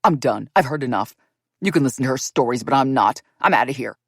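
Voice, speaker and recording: A woman's voice: very deep voice, expressive, fast, slightly rough, no echo, studio quality, pitched below the performer's own voice, full character voice. A woman reading an audiobook voices an angry, bitter man who spits his words with contempt. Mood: cold fury. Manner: spitting, contemptuous, harsh.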